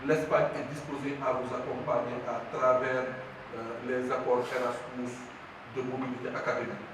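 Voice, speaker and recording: Strong echo, clear background noise, man, institute head, unscripted formal speech. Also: medium pitch. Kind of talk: formal public address, unscripted. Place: institute hall at a public ceremony. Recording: echoey, noisy hall recorded from far off.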